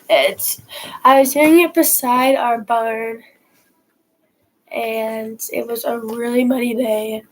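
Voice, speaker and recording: A teenage girl talks to her viewers at home, her nose stuffy from a cold. She rambles through her story in an unhurried way, with fillers and false starts, and her voice sits a little high. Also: rough recording. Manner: rambling, many restarts and fillers. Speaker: horse vlogger. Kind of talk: casual storytime to camera. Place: at home, indoors.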